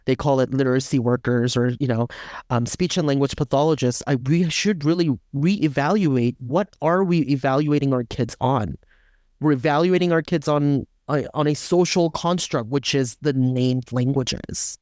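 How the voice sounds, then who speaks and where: A man's voice: mid-range voice, moderate pace, darkish tone, no room sound, studio quality. A man co-hosting a podcast, talking through ideas in a casual academic discussion and often checking in with "right?". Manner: thinks aloud with fillers and restarts, often adds "right?". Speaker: podcast co-host.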